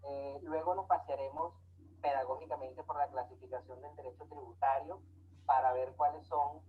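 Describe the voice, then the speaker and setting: A man from Venezuela, giving a measured online lecture with frequent hesitations. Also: medium pitch. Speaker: lecturer on tax law. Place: home, remote online talk. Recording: tinny phone-like audio, faint noise.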